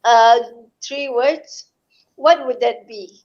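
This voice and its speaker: Slow, high-voiced woman host on dull audio. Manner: slow, hesitant questions with many "uh"s. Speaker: co-host asking questions. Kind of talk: live online interview.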